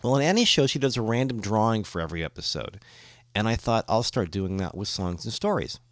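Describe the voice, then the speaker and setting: A man with a low, hoarse voice from a cold hosts his own podcast, talking casually and looping back through restarts and fillers. The sound is dry and close, with no room around it. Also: animated, a little fast, no room sound. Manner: rambling, with frequent restarts and fillers. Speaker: podcast host. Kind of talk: casual solo podcast talk.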